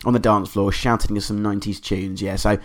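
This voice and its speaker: A man hosting a podcast, hoarse from a cold, chatting in an enthusiastic, rambling way. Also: lowish voice, little pitch movement, fairly quick. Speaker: podcast host. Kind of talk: casual podcast monologue.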